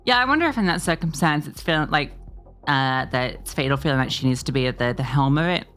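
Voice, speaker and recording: A woman casting an esports match in a notably deep voice, bantering playfully with her partner. Faint music sits in the background. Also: lively intonation, fast, fairly bright tone. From Australia. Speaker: esports commentator. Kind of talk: live game commentary and casual chat.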